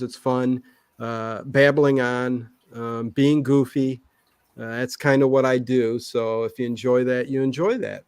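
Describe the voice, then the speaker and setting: A man chatting casually as a livestream guest, easygoing and self-deprecating, with halting phrasing. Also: medium pitch, darkish tone, clean tone. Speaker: guest who runs an old-time radio channel and trivia nights.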